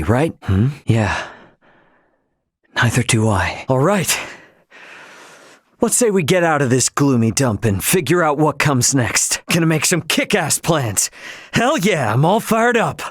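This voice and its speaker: A man playing a brash, easygoing young spaceship crewman in a video game, with casual, slangy, expressive speech. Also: medium pitch, no room sound, studio quality. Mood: reflective, then fired up. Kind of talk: video game character voice acting.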